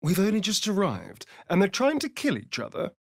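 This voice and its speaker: An actor with a British accent playing a vain, flirtatious, sardonic vampire rogue in a fantasy video game, arch and theatrical in delivery. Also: male voice, high voice, wildly swooping pitch, moderate pace, creaky, dry recording, clean studio sound, exaggerated voice. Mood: dry, annoyed. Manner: arch, drawling, theatrical, mocking.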